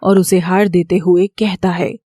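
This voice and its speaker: A woman's voice: lowish voice, level tone, measured pace, warm tone, no room sound, clean studio sound. A woman narrating a scripted moral story as its storyteller.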